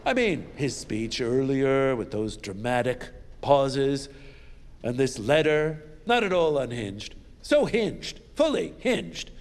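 A man's voice: medium pitch, lively intonation, slow. A man playing a smug, mocking American senator of the 1910s in an audio drama, speaking with sarcastic, gloating showmanship. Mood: gleeful, sarcastic. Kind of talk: audio drama speech, theatrical letter reading. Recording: echoey senate hall, background noise.

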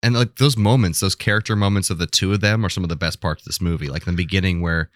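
A man's voice: low voice, steady tone, fast, fairly bright tone, no echo. A man co-hosting a podcast, chatting casually about film in an informal way, often leaning on "like". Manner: informal, hedging with frequent "like". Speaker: podcast co-host. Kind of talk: casual film debate chat.

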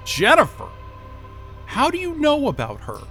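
Jobless tech worker, high voice, very tense and sharp, exasperated, halting, cynical, audio drama, music underneath. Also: male voice, wildly swooping pitch, heavily acted. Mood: exasperated, defensive. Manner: exasperated, sweary, blurting and halting. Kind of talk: naturalistic audio drama acting.

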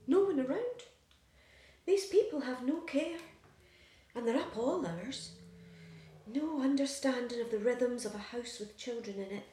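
A woman's voice: sits high, animated, a little slow, pauses often, dark tone. A woman playing an anxious, controlling young mother and wife in an audio play, insistent and fussing. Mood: exasperated. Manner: insistent, nagging, doting on her baby. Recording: very echoey room, distant, faint hiss.

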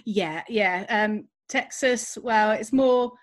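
Woman, english accent, fairly high voice, friendly, a bit distant. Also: slow pace. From England. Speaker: guest. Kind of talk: remote interview.

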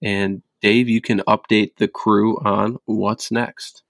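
Man, podcast host, low voice, dry sound, laid-back, casual chat. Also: flat delivery, slow, creaky, fairly bright tone, no room sound. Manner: laid-back, stumbling restarts.

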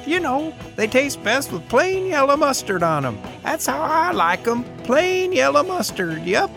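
A tinny, high old desert drifter. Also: male voice, very animated, unhurried, gravelly, over music, full character voice. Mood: eager. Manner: drawling, folksy, chatty. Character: skinny old bearded desert drifter, cheerful and sly.

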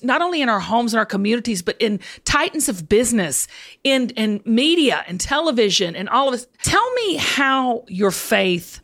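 A woman podcast host chatting casually and heartfelt with a guest, expressive and confiding, with emphatic affirmations. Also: a little high. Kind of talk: casual heartfelt interview chat.

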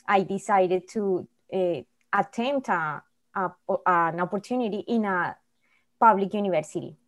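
A woman from Colombia with a Colombian Spanish accent, telling a personal story as a guest in earnest, halting English phrasing. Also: medium pitch. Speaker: guest speaker, business owner. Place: video call from a computer.

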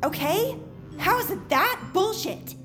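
A woman's voice: very high-pitched, expressive, slow, music in the background, exaggerated voice. A woman playing a defensive, geeky teenage girl in an audio drama, hesitant and prone to stammering.

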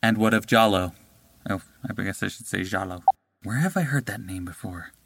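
A man's voice: fairly low pitch, moderate pace, creaky, noisy background. A man recording voice lines who trips over hard names, curses and tries again. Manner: lively, breaks off to curse and retry. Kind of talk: blooper outtakes, swearing at tricky words.

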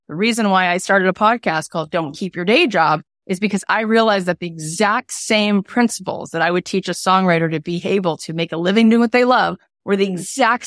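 A woman's voice: mid-range voice, fairly bright tone, no room sound, studio quality. A woman hosting a podcast interview, asking guiding questions in an enthusiastic tone. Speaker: podcast host.

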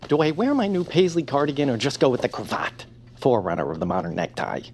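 An actor playing a timid, fussy, self-deprecating radio hero in a comedy audio drama, halting and apologetic. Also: male voice, medium pitch, expressive, darkish tone, slight background noise, close to an everyday voice. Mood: dejected. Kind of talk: audio fiction comedy acting.

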